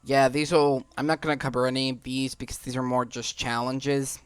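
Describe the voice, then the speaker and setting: A man giving casual commentary on a game playthrough, halting now and then as he thinks aloud. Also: mid-range voice, little pitch movement, a little hiss or hum. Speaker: gaming video host. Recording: game music behind the voice.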